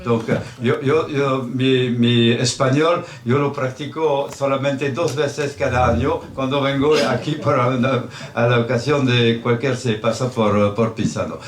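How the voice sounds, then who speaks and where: A man with a French accent, a native French speaker, haltingly speaking as an art donor at a public event. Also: mid-range voice, steady tone. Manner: hesitant, searching for words. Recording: echoey hall, faint noise.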